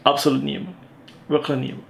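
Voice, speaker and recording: A man's voice: medium pitch, little pitch movement, fairly quick, vocal fry, echoey room. A young man in his twenties teasing his friend in a casual comic sketch, sounding skeptical. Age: 20s. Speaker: friend of the host.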